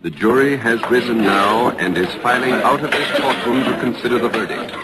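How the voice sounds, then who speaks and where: A man's voice: mid-range voice, moderate pace, natural voice. A man playing an urgent live radio reporter, giving clipped, dramatic commentary in 1940s news style. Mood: intent, hushed urgency. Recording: faintly noisy old radio broadcast.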